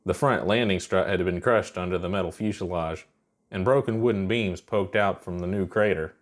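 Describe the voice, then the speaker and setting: A man's voice: sits low, moderate pace. A man narrating a scripted fiction story as its reader.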